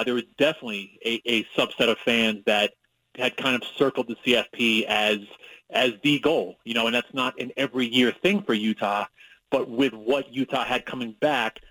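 Male sportswriter guest, New York accent, mid-pitched, dry close mic, loose and talky with lots of "you know"s. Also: fairly even intonation, moderate pace, sharp tone, no room sound, poor audio. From Long Island, New York. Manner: conversational, with many fillers and restarts, analytical. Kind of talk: radio interview.

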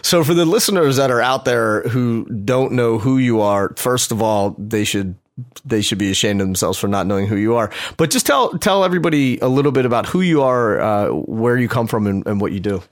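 A man's voice: medium pitch, expressive, fairly quick. A man hosting a podcast, talking with an eager, effusive enthusiasm. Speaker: podcast host. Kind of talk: casual interview conversation.

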